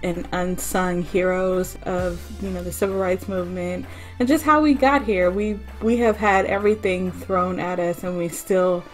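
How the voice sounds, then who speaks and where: A woman's voice: lowish voice, steady tone, normal speed, faint music behind. A woman giving her opinion casually as a podcast guest.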